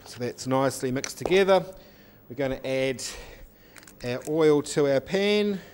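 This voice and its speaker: A man in his forties talks through a recipe step by step while he cooks in a studio kitchen. His voice has an ordinary middle pitch and is picked up by a lapel mic, with faint frying and sizzling behind him. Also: lively intonation, a little slow, a little mellow, slight background noise. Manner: explaining step by step while cooking. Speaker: cooking teacher. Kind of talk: cooking demonstration. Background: oil sizzling in a pan.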